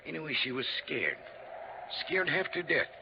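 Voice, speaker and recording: A man's voice: fairly high pitch, very animated, normal speed, creaky. An American actor playing an older, plain-spoken small-town father with a homespun, rambling manner in 1950s radio drama. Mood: worried, earnest. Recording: muffled, noisy 1950s radio recording.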